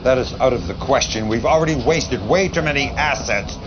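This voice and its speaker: An actor playing a gruff, weary military general, a man who speaks bluntly and with grave authority. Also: mid-range voice, lively intonation, a little fast, over music, lightly acted. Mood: firm, displeased. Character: gruff, weary male military general briefing his commander. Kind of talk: dramatic game cutscene acting. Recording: dull, noisy game video audio.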